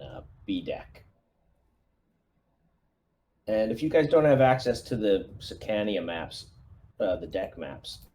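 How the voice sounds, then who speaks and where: A man speaking as a squad commander in a tabletop roleplaying game, briefing a plan in a halting, thinking-aloud way. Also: deep voice, warm tone, slight background noise. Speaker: player acting as squad commander.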